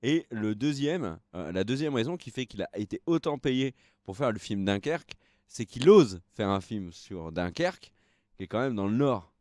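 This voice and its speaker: A man's voice: lowish voice, sing-song, normal speed, a little mellow, dry recording, studio quality. Tired young man rambling with fillers. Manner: rambling, hesitant with many fillers. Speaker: co-host of a film news show. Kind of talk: casual live-stream chat.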